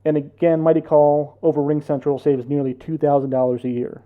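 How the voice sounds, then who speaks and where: A man's voice: fairly high pitch, little pitch movement, fairly quick, narrow, tinny audio. An American man presenting a product explainer as scripted voice-over narration for a tech consultancy. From United States.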